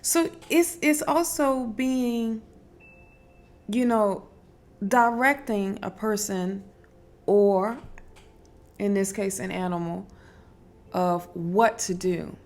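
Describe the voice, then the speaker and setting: A woman talks through spiritual advice at a slow, easy pace, circling back to her points with fillers and restarts. Her voice is strong and loud, sitting at a middle pitch for a woman. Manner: unhurried, repetitive, emphatic, with fillers and restarts. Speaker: spiritual wellness host. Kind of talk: casual spiritual advice talk.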